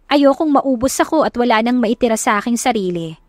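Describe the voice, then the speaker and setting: A woman's voice: a little high, expressive, a little fast, no room sound, clean studio sound. A Filipino woman narrating a first-person romance story dramatically, as in an audiobook. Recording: music behind the voice.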